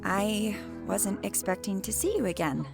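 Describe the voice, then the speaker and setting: A woman's voice: mid-range voice, unhurried, creaky. A woman playing a guarded, sarcastic young woman in a naturalistic audio drama, speaking dryly and a little hesitantly. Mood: exasperated. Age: young adult. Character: wry, guarded young woman grieving her uncle. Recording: music bed behind the voice.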